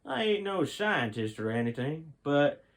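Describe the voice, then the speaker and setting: A man's voice: on the high side, very animated, measured pace, pitched above the performer's own voice. A man reading the part of a plain-spoken young man who talks in a casual, folksy way.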